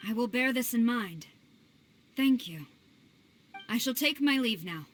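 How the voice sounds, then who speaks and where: A woman's voice: a little high, lively intonation, a little slow, slight background noise. A woman voicing a stern, disciplined female general in a video game, speaking formally and firmly. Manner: clipped, formal, commanding.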